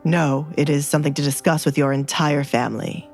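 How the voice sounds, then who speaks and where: Woman voicing a curt, cold, low-pitched haughty duke. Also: fairly even intonation, fairly quick, a little mellow, faint music behind. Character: cold, composed duke, curt and disdainful man. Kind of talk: audio drama storytelling.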